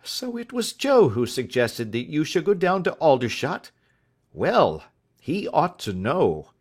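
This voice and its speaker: A man reading aloud, voicing an excitable adult schoolmaster who asks question after question. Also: fairly high pitch, slow, husky, voice pushed up, exaggerated voice. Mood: eager. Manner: fussy, exclaiming, questioning.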